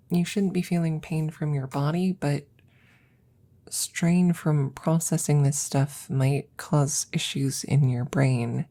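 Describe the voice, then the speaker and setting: A woman playing a worried, tired scientist caring for her best friend in an intimate ASMR roleplay, breathy and often near a whisper, hesitant and reassuring. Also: low-pitched, dark tone.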